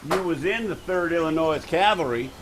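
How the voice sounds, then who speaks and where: A man's voice: a little high, expressive, normal speed, noisy background, lightly acted. An American man playing a cruel, jeering cowboy henchman in an old-time radio Western. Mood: gloating. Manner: jeering, drawling.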